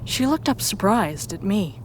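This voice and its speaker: A woman reading scripted first-person fiction in a measured storytelling manner. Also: medium pitch, big pitch swings, slight background noise, rough recording. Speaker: story narrator.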